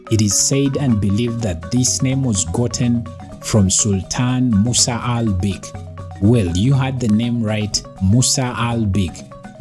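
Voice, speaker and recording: A man who hosts a channel, reading a scripted narration of facts in a measured, teaching tone. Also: mid-range voice. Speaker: channel host. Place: voice-over with background music.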